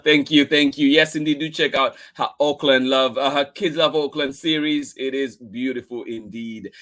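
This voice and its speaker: A man's voice: mid-range voice, a bit bright. An American man hosting a children's online story time, effusively greeting viewers and repeating his thanks. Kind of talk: live stream greetings to viewers before a picture book read-aloud.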